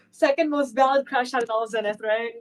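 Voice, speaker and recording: A woman's voice: sits high, big pitch swings, measured pace, faint music behind. A woman player teasing her friends after a tabletop session on a video call, breaking into giggles. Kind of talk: casual post-session chat.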